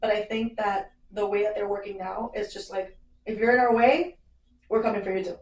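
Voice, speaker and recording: A woman's voice: medium pitch, fairly even intonation, a little fast, darkish tone, a little hiss or hum. A young woman, an immigration lawyer explaining things plainly and reassuringly in casual podcast chat. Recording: roomy, mic a bit far.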